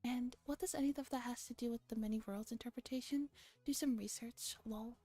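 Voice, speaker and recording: A woman's voice: a little high, steady tone, a little fast, warm tone, faint music behind, lightly acted. A woman voicing a scornful, skeptical internet arguer from a visual novel, sarcastic and dismissive. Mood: scornful disbelief.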